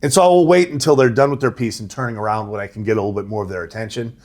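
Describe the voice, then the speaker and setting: A middle-aged man with a big voice, a rowing coach answering interview questions in a relaxed, conversational way. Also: fairly low pitch, rapid-fire. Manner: conversational, laughs easily, says right? and you know.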